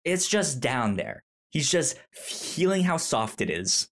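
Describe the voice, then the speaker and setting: A man co-hosting a review podcast, talking casually about a film in a halting way, often repeating words mid-sentence. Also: mid-range voice, sing-song, a bit bright.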